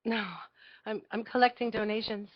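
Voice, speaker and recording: A woman's voice: fairly high pitch, little pitch movement, moderate pace, dry recording, dull audio, close to an everyday voice. A woman at a live table read playing a polite, earnest young girl activist who speaks hesitantly with small stammers. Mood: shy, hopeful.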